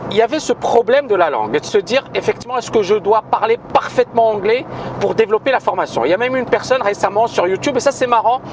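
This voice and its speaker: A man's voice: high-pitched, lively intonation, fast, background noise. A man who founded a trading school makes an emphatic video announcement, repeating himself and hesitating often. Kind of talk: spoken video announcement to viewers.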